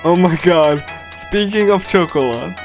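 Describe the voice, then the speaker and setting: Casual male livestreamer, higher-toned, reading and commenting on a game in a loose, rambling manner. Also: a little slow, soft background music. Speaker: streamer.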